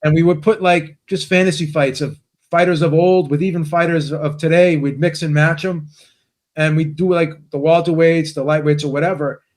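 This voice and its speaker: Higher-pitched man, eager stumbling guest. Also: steady tone, moderate pace, darkish tone. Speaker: guest, boxing channel co-host. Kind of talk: casual podcast chat.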